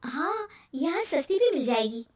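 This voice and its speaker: A woman's voice: high voice, big pitch swings, normal speed, distant mic. A woman voicing a cheerful, talkative, easily worried young wife in a cartoon moral story. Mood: happy.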